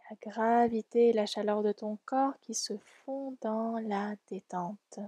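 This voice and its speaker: A woman leading a guided relaxation meditation in a soft, soothing, gentle and unhurried way. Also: fairly high pitch, steady tone, breathy edge, no room sound. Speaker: yoga nidra guide.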